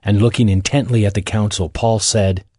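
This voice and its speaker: A man's voice: on the low side, steady tone, moderate pace, a little mellow, dry recording, studio quality. A man narrating scripture as a scripted audio Bible reading.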